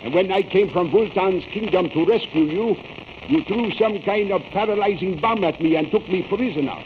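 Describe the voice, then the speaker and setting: An older scientist mentor from an old radio serial , acted by a man, with a high-pitched voice. He speaks formally and urgently, fatherly yet shrewd, over a tinny, phone-like recording full of noise. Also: moderate pace, close to an everyday voice. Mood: grave. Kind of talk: 1930s radio serial acting. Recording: tinny, noisy vintage radio broadcast.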